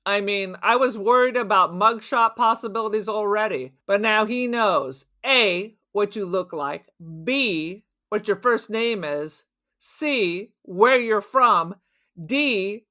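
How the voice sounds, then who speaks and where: A woman's voice: mid-range voice, lively intonation, takes it slowly, sharp tone. A woman playing a suspicious, cynical robber on the run in an audio drama, arguing in sarcastic, streetwise slang. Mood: sarcastic, probing. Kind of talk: audio drama crime acting.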